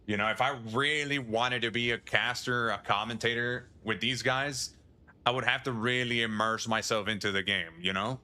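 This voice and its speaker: A man's voice: mid-range voice, steady tone, moderate pace, bright, forceful tone. A male streamer chatting casually on a livestream, rambling, swearing and chuckling often.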